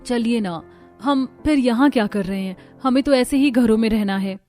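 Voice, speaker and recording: High-voiced eager young village bride played by a woman. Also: normal speed, a little mellow, soft background music, close to an everyday voice. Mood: insistent. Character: eager young village bride, dreamy and pleading cartoon daughter-in-law. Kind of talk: cartoon story dialogue.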